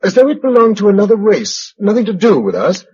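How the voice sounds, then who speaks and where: A man's voice: high voice, big pitch swings, brisk, a little mellow, breathy edge, exaggerated voice. An actor with an English accent playing a practical, watchful male survivor in a vintage radio drama. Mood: somber. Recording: dull, noisy old radio recording.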